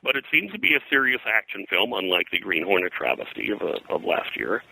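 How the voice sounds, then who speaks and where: Older male interview guest, low forceful voice, rambling anecdotal historian. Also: sing-song, a little fast, creaky, no room sound, rough recording. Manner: rambling, digressive, hesitant.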